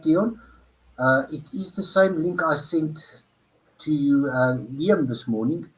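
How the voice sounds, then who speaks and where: A man's voice: on the high side, low-quality recording. A man from South Africa hosting an online stream, chatting casually and thinking aloud with many fillers over a computer microphone on a video call. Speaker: livestream host. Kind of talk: casual chat while troubleshooting.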